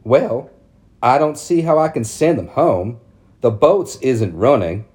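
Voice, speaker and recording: A man voicing a calm, guarded rural mother who speaks mildly and plainly. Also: mid-range voice. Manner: mild, measured, plain country speech.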